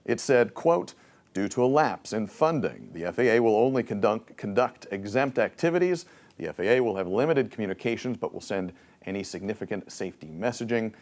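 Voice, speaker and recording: A man's voice: fairly low pitch, expressive, a little fast, a little mellow. A man reporting live for television news from a newsroom in a broadcast reporting style.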